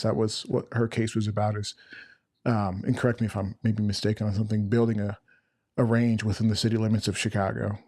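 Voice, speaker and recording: Flat-voiced, rambling male podcast host. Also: on the low side, no room sound, studio quality. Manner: flat-voiced, rambling and chatty, self-described. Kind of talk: casual interview chat.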